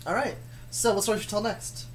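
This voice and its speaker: A woman's voice: mid-range voice, fast, a little hiss or hum. A woman co-hosting a story stream in casual, bantering chat, ready to argue over small things. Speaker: co-host of improv story stream.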